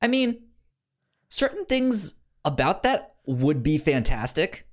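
A man co-hosting a podcast in a casual book discussion, speaking haltingly and often repeating sounds and words as he goes. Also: on the high side, big pitch swings. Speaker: podcast co-host.

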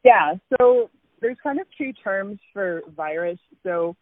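A man casually explaining how viruses work, using animated comparisons. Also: sits high, takes it slowly, dry recording, narrow, tinny audio. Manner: animated, uses analogies. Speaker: science explainer.

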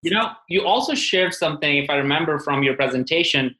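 A man's voice: on the high side, fast, pushed and bright. A man hosting a casual interview, chatting informally as he sets up questions for his guest. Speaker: podcast host. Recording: echoey, roomy sound.

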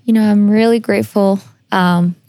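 A woman's voice: mid-range voice, fairly even intonation, moderate pace, mellow, dry recording. A woman hosting a conversational interview, speaking in an earnest, personal and reflective way. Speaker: podcast host.

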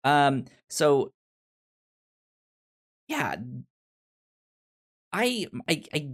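A man's voice: a little high, very animated, drawn-out words, a bit bright. A man hosting a podcast in a casual review discussion, often stammering and repeating words as he feels his way through a thought. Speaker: podcast host.

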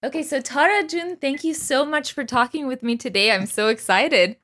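A woman's voice: high-pitched, normal speed, a bit bright, no echo. Woman podcast host, close dry sound. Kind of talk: scripted introduction, then casual interview chat.

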